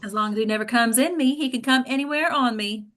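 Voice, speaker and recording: Woman acting a teenage girl, high-ish and very bright, dramatic, frank, doting, screenplay read. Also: fast, close to an everyday voice. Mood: breezy. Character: dramatic, frank teenage daughter, devoted girlfriend.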